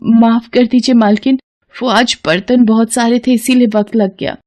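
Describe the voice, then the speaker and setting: A woman's voice: a little high, fairly even intonation, normal speed, warm tone, pitched above the performer's own voice, natural voice. A woman voicing a humble, hardworking servant in a story, plaintive and respectful, with wistful longing. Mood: meek, respectful.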